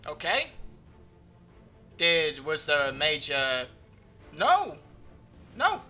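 A man's voice: a little high, huge pitch swings, very slow, sharp tone, soft background music. A young man giving live gameplay commentary, chatty and joking, his voice slightly groggy. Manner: chatty, joking, talks to himself while playing. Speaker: gaming commentator.

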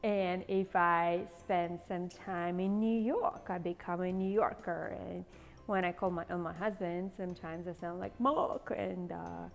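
A woman's voice: on the low side, steady tone, unhurried, creaky, in a large hall, soft background music. A woman in her forties hosting a cooking demonstration in a large home kitchen, chatty and bubbly, with a playful put-on Southern accent. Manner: chatty, bubbly, laughs often. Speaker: cooking show host.

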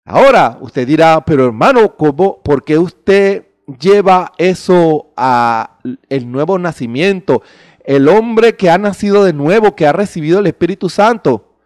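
A man's voice: on the high side, animated, measured pace, darkish tone, no echo, studio quality. A middle-aged man preaching a sermon into a handheld mic on a small indoor stage, emphatic and full of rhetorical questions.

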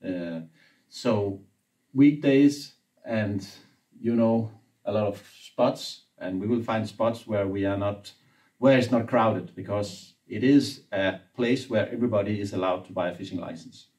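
A man's voice: lowish voice, darkish tone, recorded from a distance. Danish-native man leading an interview. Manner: leads the conversation, brisk prompts. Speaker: interviewer, trip organizer. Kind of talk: casual interview. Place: indoor room with brick wall.

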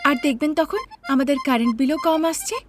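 A woman's voice: sits high, fast talker, over music, pitched above the performer's own voice, natural voice. A woman voicing an earnest, stubborn young daughter-in-law in a cartoon story, pleading yet firm as she argues. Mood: explaining. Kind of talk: Bengali cartoon story acting.

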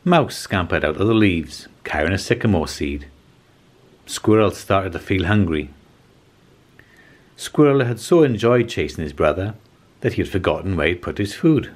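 A man in his forties reading a children's storybook aloud as scripted narration, in a measured, expressive way. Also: low voice. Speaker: children's storybook reader and host.

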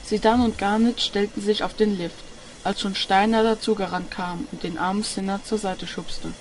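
A woman's voice: mid-range voice, fairly even intonation, normal speed, slight background noise, low-quality recording. A woman reading scripted narration for an audio drama. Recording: music under the voice. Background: music.

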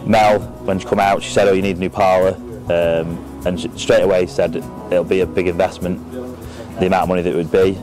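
An English farmer in his thirties talks in an interview. His voice is low and flat in melody, with music clearly underneath. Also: fairly quick, rough recording. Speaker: dairy farmer. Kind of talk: interview testimonial. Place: open cattle shed. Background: background music.

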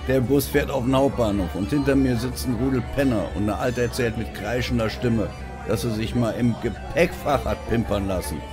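A man's voice: medium pitch, normal speed, music in the background, voice pushed up, natural voice. A man voicing a rowdy, boastful barfly telling crude anecdotes in an audio story. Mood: crude, amused. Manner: excited, boastful, crude slang.

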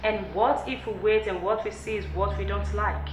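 A woman's voice: medium pitch, fairly even intonation, normal speed, background noise, lightly acted. An actress playing an anxious, doubtful young woman in a film drama. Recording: echoing room, clear music underneath.

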